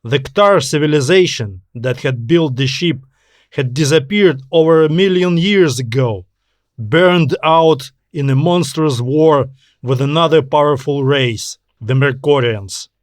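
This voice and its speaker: A man's voice: on the high side, unhurried, dry recording, studio quality. A man reading a scripted science fiction story as an audiobook narrator.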